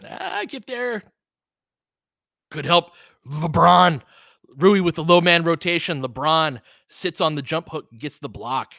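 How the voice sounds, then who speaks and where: A middle-aged man with a bright, forward voice in a dry, close recording. He hosts casual live basketball commentary, mixing play-by-play with opinionated chat and reacting out loud as he goes. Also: on the high side, lively intonation, takes it slowly, gravelly, no echo. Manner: conversational, opinionated, reacts aloud to the action. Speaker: online basketball play-by-play host.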